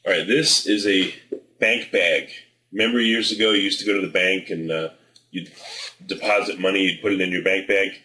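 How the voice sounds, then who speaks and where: A man with a low, forceful voice gives informal marketing advice. He is direct and plain-spoken, pitching his points persuasively. The recording has a noticeable room echo. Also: steady tone, normal speed. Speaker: marketing presenter. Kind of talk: informal sales advice to camera. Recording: roomy indoor echo.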